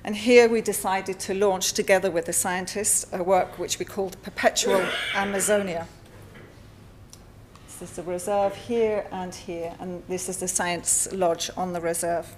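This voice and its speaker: A woman with a Spanish accent giving a conference talk over slides, halting often with fillers and self-corrections. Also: fairly low pitch, steady tone, slight background noise. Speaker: artist presenting at a symposium. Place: lecture hall.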